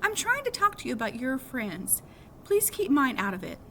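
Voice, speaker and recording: A woman's voice: high voice, huge pitch swings, moderate pace, pushed and bright, pitched above the performer's own voice, full character voice. A woman voicing an earnest, impatient teenage big sister in a novel read-aloud, with emphatic, persuasive delivery. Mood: exasperated. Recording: clearly audible background noise.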